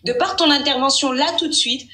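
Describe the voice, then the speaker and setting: Insistent high bright-voiced woman. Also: fairly quick. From Morocco. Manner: insistent, talks over the other speaker and repeats points. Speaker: live stream host. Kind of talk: heated debate on a live stream.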